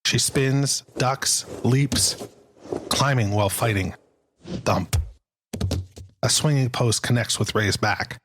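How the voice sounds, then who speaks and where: A man's voice: medium pitch, slow pace, pauses often, noisy background. A man narrating screenplay stage directions in a dramatic scripted style.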